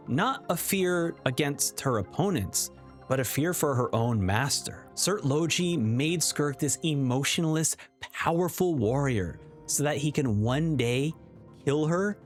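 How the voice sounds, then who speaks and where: A man giving a casual, emphatic video game review as a host. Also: medium pitch.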